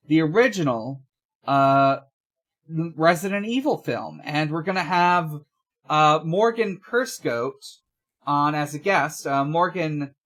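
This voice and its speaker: A young man co-hosting a podcast in casual chat, talking in a halting, rambling way and often correcting himself. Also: fairly high pitch, fairly bright tone. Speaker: podcast co-host.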